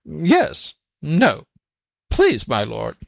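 A man's voice: a little high, huge pitch swings, drawn-out words, creaky, pitched above the performer's own voice, full character voice. A sly storybook jackal, put on by a man, with a raised, swooping voice. It plays the fretful, muddled simpleton, exclaiming in flustered confusion. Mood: flustered, feigned distress. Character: sly jackal feigning muddled, whimpering stupidity. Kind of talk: storybook character voice.

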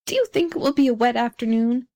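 A chatty little storybook frog, put on by a woman reader. He gushes on in a high voice, self-satisfied and talkative. He is a creature who loves his muddy ditch. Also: fast talker, darkish tone, lightly acted.

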